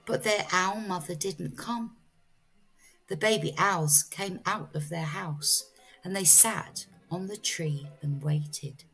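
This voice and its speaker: A woman reading a children's story aloud as a storyteller, in a measured teacherly style. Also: lowish voice, fairly bright tone, faint music behind, rough recording.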